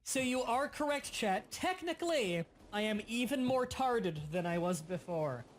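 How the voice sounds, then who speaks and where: A young man hosting a casual gaming livestream, explaining game mechanics in quick bursts and sometimes stuttering. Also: extremely high pitch, expressive, background noise. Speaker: livestream host. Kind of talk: casual gaming stream chat.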